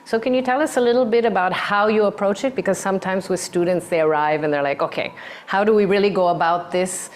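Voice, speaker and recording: A woman's voice: mid-range voice, fast talker. A woman moderating a design talk for students, hesitant, with many fillers and repeated words. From mixed background.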